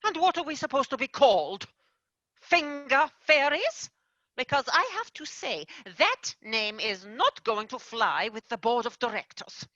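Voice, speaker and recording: A woman's voice: high voice, sing-song, unhurried, sharp tone, no echo, highs cut off, heavily acted. A woman playing a stern female fairy company boss in a stage play, weary, exasperated and prone to sighing. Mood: dry. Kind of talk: amateur stage-play acting.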